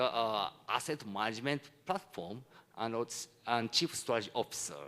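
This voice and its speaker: A man from Japan with a Japanese accent gives a conference presentation in halting, non-native English with broken phrasing. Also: mid-range voice. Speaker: conference speaker, hedge fund executive.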